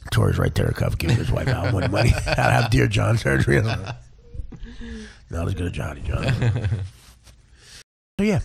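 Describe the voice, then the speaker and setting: A man who works as a stand-up comedian, telling crude, rambling stories in a casual, profane, animated way. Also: fairly low pitch, normal speed, gravelly, long pauses, breathy edge.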